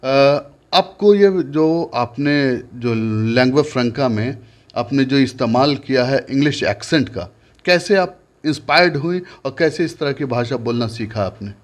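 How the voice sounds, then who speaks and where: A man's voice: medium pitch, expressive, unhurried. A man who narrates a news report in a formal tone and then interviews a guest, often hesitating when he speaks off script.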